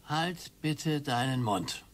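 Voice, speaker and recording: An actor playing a bored, grumpy older retired husband with a weary, gruff manner and a husky voice. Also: male voice, on the high side, lively intonation, slow. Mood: irritated. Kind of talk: radio play acting.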